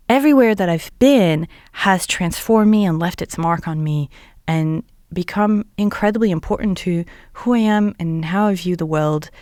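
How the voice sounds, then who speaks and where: A woman's voice: fairly low pitch, darkish tone, no echo, studio quality. A woman who is a non-native English speaker, hosting and interviewing on a podcast in a conversational way. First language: not English.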